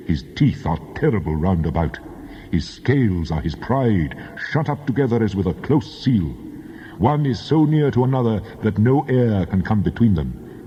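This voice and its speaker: An actor playing a mighty, wrathful God in a dramatized scripture reading, solemn and declamatory, with a husky voice. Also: male voice, fairly low pitch, dark tone. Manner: solemn, declamatory, grandly measured. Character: God, mighty, majestic, wrathful male deity. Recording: muffled, long cavernous reverb.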